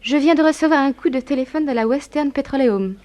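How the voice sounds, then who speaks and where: An actress playing an affectionate, efficient young secretary in a 1960s French radio play, polite and attentive. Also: female voice, sits high, a little fast, a little mellow, close to an everyday voice.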